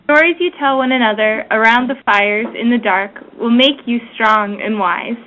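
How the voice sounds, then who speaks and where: A woman reading a prayer aloud on a conference call, solemn and measured. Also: fairly high pitch, bright, forceful tone, a little hiss or hum. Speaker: prayer reader. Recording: tinny phone-line conference call.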